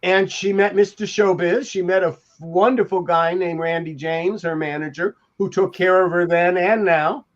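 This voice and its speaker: An older man chatting casually and reminiscing as a podcast panelist, in a halting, rambling way. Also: high-pitched, fairly even intonation. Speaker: podcast panelist, TV industry veteran. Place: home room, on a video call. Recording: dull lo-fi video call audio.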